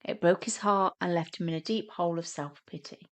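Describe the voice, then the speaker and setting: Woman storyteller, slightly low voice, reading out a scripted short story. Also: fairly even intonation, a little fast. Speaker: narrator.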